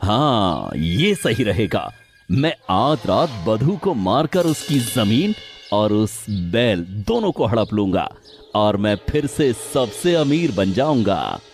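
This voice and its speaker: Greedy, jealous cartoon village villain, played by a man; menacing, boastful, highly expressive, short-tempered. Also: takes it slowly, soft background music, exaggerated voice. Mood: scheming. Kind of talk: animated moral story character dialogue.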